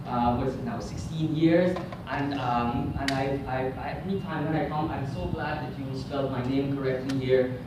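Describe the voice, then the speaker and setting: A middle-aged man from Guyana delivers a conference lecture, stopping often with ums and restarting his sentences. He is recorded in a very echoey hotel hall from some distance, and room noise stays clearly audible behind him. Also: a little high. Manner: halting, many ums and restarts. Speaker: guest lecturer.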